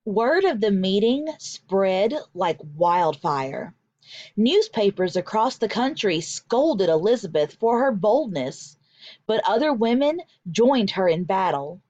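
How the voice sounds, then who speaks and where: A woman teacher reading a picture book aloud for young children, with deliberate, emphatic storytelling and instructional delivery, in a slightly dull recording. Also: mid-range voice, very animated, darkish tone, no room sound.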